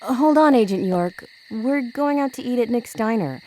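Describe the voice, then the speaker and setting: A woman's voice: a little high, expressive, normal speed, darkish tone, lightly acted, rough recording. A woman playing a practical, composed small-town policewoman in a video game, speaking in a polite, conversational way. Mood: friendly, inviting. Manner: polite, conversational, plain-spoken. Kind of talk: video game cutscene acting.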